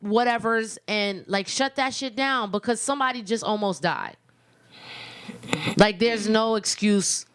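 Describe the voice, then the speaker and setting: A woman's voice: mid-range voice, a little slow, fairly bright tone, clear, resonant tone. An American woman radio host chatting casually and giving opinions in an emphatic, slangy way.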